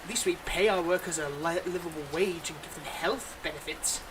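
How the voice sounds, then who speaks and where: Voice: mid-range voice, fairly quick, noisy background, close to an everyday voice. A performer voicing a smug, playfully exasperated supervillain in a scripted ASMR audio roleplay, mocking and dramatic. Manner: mocking, dramatic, addresses an unheard hero listener.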